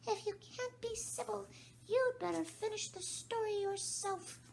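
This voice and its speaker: A woman voicing a sleepy, feeble little dormouse with a hoarse, thin voice and a drowsy, sulky manner. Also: very high voice, a little slow, slight background noise, voice pushed up, heavily acted.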